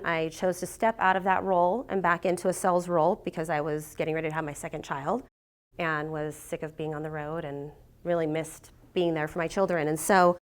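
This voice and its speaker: A middle-aged woman getting over a cold, giving a conversational talk to a business group as guest speaker. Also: on the low side. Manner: conversational, with fillers and restarts.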